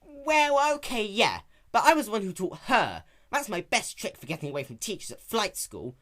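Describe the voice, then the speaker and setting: A man's voice: high-pitched, very animated, normal speed, bright, forceful tone, pitched above the performer's own voice, full character voice. A man voicing a brash, cocky young female pegasus pony in a dramatic story reading, boastful and slangy. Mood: casual, cocky. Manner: boastful, casual slangy talk.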